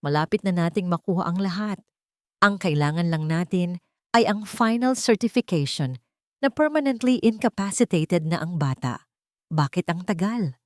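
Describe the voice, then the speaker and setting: A woman's voice: on the low side, animated, moderate pace, darkish tone, no room sound, studio quality, lightly acted. A woman voicing a cold, scheming, ruthless rich wife in an audio drama, irritated and demanding. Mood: irritated, mocking.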